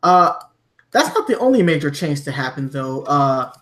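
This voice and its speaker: Halting, higher-pitched man hosting a podcast. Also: very animated, a bit bright. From Germany. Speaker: podcast host. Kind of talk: conversational news discussion.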